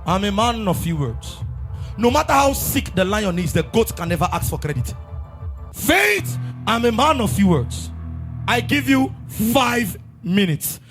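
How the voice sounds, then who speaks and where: A man's voice: high voice, a bit bright, full character voice. An actor playing a menacing, wealthy political godfather who speaks in threats and proverbs. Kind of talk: stage play acting.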